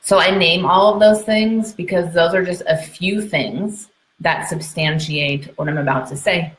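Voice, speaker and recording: A woman with a distinctly low voice teaches informally in an online session. She is emphatic, restating her key points and checking in with listeners as she goes. The sound is clearly roomy, with the echo of an indoor space around her. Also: a little slow. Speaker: speaker, midwife and trainer. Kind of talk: informal educational lecture to an online audience.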